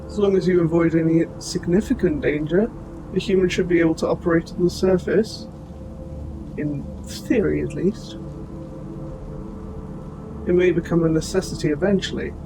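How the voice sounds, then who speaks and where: A man voicing an old military robot, formal, precise and gently protective, in a scripted audio roleplay addressed to the listener. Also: fairly high pitch, steady tone, vocal fry, background noise. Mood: calm, informative. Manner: formal, precise, measured phrasing. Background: music.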